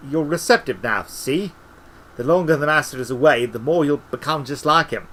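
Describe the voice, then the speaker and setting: A man voicing a defensive, ancient wizard servant in an audiobook, gruff and folksy, given to whining and ungrammatical asides. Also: a little high, expressive, normal speed, a bit bright, voice pushed up. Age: older. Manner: colloquial, ungrammatical, tacks on "see?" and "you know".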